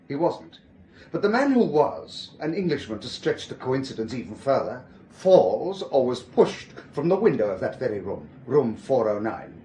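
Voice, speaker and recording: An actor playing a suave, probing German police inspector in a radio drama, formal and precise, with a German accent. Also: male voice, medium pitch, sing-song, normal speed, dark tone, reverberant, slight background noise, natural voice. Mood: stern, insistent. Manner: formal, precise, elaborately polite.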